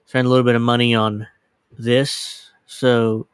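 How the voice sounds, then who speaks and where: Smooth-voiced man rambling on a livestream. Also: medium pitch, little pitch movement, unhurried, no room sound. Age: 30s. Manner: rambling, scattered, self-deprecating; casually profane. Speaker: livestream host. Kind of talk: casual livestream chat. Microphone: dedicated microphone.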